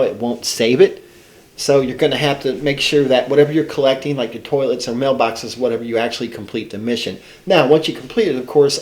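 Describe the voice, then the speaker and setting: A man's voice: mid-range voice, darkish tone, roomy sound. Congested older man narrating gameplay. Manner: rambling, folksy, self-correcting. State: congested from a head cold. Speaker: gaming channel host. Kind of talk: casual gameplay walkthrough commentary.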